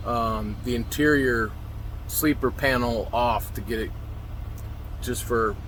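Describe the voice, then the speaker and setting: A man's voice: medium pitch, a bit bright, slight background noise, low-quality recording. A man talking informally in a rambling, plain-spoken way, giving an unscripted how-to walkthrough on a handheld recording in a truck cab. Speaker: truck driver filming a DIY install video. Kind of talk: casual unscripted how-to vlog. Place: inside a semi truck cab.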